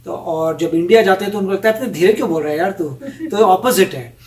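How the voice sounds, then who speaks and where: A man with a fairly high voice chats calmly in a casual vlog, drops English phrases in between, and pauses with fillers. He sounds set back from the mic. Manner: measured, mixes in English phrases, thinks aloud with fillers. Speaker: co-host of a reaction vlog. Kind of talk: casual chat. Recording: voice off mic, from a distance.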